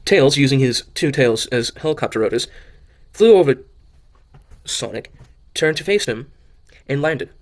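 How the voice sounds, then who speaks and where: A man's voice: mid-range voice, animated, moderate pace, long pauses. Guy narrating his fanfic audio drama, kinda scuffed, keeps fumbling words and fixing them. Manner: stumbles over lines and corrects himself. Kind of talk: amateur reading of fan fiction narration.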